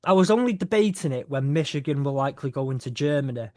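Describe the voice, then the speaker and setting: A man's voice: medium pitch, lively intonation, quick pace, darkish tone, no room sound, studio quality. A man hosting a podcast in casual chat, hesitant and often restarting his sentences. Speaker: podcast host.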